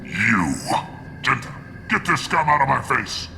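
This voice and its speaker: A man's voice: medium pitch, big pitch swings, measured pace, vocal fry, background noise. A man voicing a harried, hot-tempered mercenary boss in a video game, impatient, swearing and barking orders. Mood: furious.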